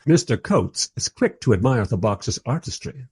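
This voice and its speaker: An actor playing a bemused, wry filmmaker who narrates and interviews in a scripted radio drama. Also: male voice, medium pitch, very animated, moderate pace, a little mellow, slightly rough. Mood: wry, narrating.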